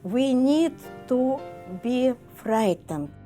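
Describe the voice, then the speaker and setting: A woman in her eighties from Russia speaks haltingly in non-native English grammar. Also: high-pitched, animated, warm tone, faint music behind. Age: 80s. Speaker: Holocaust survivor, interviewee. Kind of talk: testimony interview.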